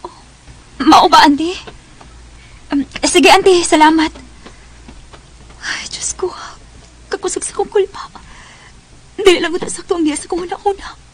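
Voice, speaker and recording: A woman's voice: very high-pitched, a little slow, long pauses. An actress playing an emotional, pleading young woman betrayed by her boyfriend, in a radio drama. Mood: uneasy, polite. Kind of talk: radio drama acting and first-person narration. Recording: music behind.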